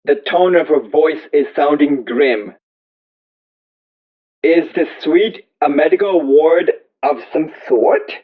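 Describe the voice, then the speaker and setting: A man reading a first-person story aloud as scripted narration, in slow, deliberate, measured phrases. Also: a little high. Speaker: audiobook reader. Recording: thin, phone-like narrow-band audio.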